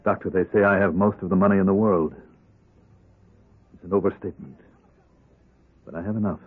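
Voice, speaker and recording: A man's voice: low voice, fairly even intonation, a little fast, slightly rough. An actor playing a somber, weary, very wealthy man in an old-time radio drama, speaking solemnly. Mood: somber, resigned. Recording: muffled narrow-band old radio recording.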